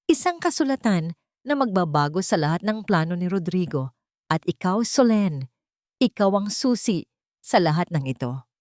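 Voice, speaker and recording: A devoted middle-aged lawyer, played by a woman, earnest and determined in an audio drama. He speaks with solemn reassurance in a low-set, close and dry voice, moving between English and Tagalog. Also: very animated, normal speed, darkish tone, dry recording.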